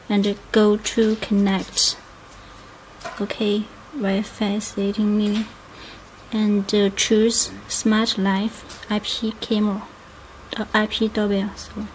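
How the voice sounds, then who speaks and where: Lady walking thru device setup step by step, real slow n choppy, noisy room w clicks. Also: mid-range voice, a little mellow. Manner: halting, fragmented phrasing. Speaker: product demonstrator. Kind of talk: unscripted step-by-step product tutorial.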